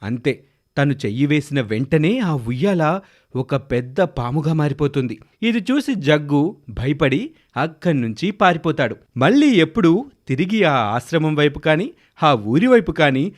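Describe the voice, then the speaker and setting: A man reads a scripted children's tale as its storyteller. His voice sits a bit high and moves about in lively swings of pitch.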